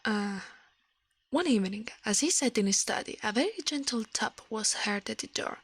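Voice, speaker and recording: Soft breathy Italian woman doing ASMR. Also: on the high side, lively intonation, normal speed, dry recording. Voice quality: soft, breathy, often slipping into whisper. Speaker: ASMR livestreamer. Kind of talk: ASMR, soft storybook reading and chat with viewers.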